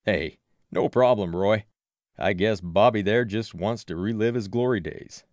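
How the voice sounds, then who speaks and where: A man voicing a burly, protective local sports fan for an audiobook, loud, punchy and colloquial. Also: on the low side, measured pace, dry recording, clean studio sound, natural voice. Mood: chummy, opinionated. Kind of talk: audiobook character voice.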